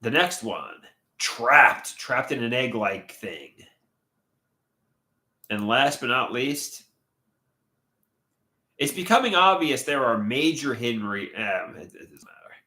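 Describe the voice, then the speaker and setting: A man's voice: medium pitch, expressive, slow, pauses often, bright, forceful tone, roomy sound. A man hosting a casual livestream, reading chat comments aloud with a stuffy, congested nose and a rambling, hesitant way of talking. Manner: rambling, hesitant, trails off mid-thought. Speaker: livestream host.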